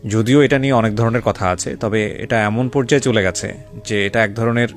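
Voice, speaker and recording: A man's voice: fairly low pitch, fairly even intonation, normal speed. A man with a Bangladeshi accent hosting a conversational radio interview, offering reflective commentary and mixing English phrases into his speech. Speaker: radio show host. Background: music.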